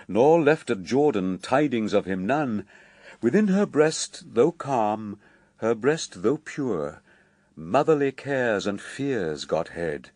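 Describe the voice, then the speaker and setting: A man's voice: fairly low pitch, a little mellow, dry recording, exaggerated voice. A man playing a solemn poet who narrates a sacred epic in grave, declamatory verse for radio drama. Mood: solemn, tender.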